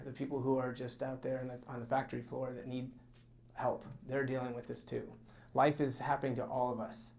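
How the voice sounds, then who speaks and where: A man's voice: mid-range voice, a little mellow. Earnest man moderating a panel. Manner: earnest, personal storytelling. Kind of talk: conference panel introduction. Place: conference breakout room.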